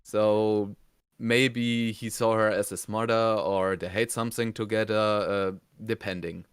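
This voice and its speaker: A man co-hosting a casual review, explaining things in a halting, conversational way. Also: on the low side, flat delivery, clean tone, no room sound, clean studio sound. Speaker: review show co-host.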